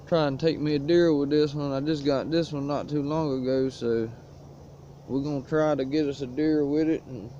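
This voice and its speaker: A laid-back man talks easily about his gear in a folksy drawl. His voice is mellow and dark but sits fairly high. The sound is dull lo-fi phone audio from outdoors in the woods, with a faint hiss underneath. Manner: laid-back rural drawl, folksy, coughs now and then. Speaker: outdoors vlogger. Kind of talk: casual show-and-tell vlog. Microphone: cell phone propped on a stick.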